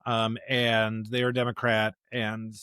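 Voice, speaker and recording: A man's voice: lowish voice, completely flat delivery, slow, sharp tone, clean tone, no room sound, clean studio sound. A man co-hosting a podcast, discussing scripture conversationally and emphatically, with stop-start phrasing and rhetorical questions. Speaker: podcast co-host, member of clergy.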